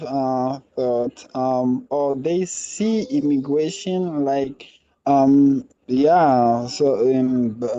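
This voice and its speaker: A man talks very slowly and hesitantly, often stopping to search for words. His voice is a bit high, but dark and mellow in tone. The sound is dull and lo-fi. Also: no room sound. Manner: hesitant, many fillers and restarts. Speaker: student. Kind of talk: class discussion answer. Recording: muffled lo-fi online call audio.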